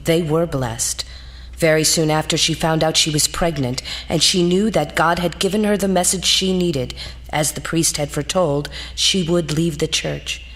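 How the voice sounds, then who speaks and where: A dignified, grave lady, played by a woman, narrates a ghost story. Her voice is slightly low and her delivery solemn and measured, in theatrical period-drama style. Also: natural voice.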